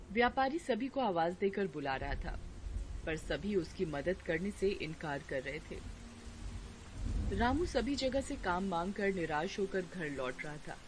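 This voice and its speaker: A woman narrating a cartoon story in a scripted storytelling manner. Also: on the low side. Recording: noisy background.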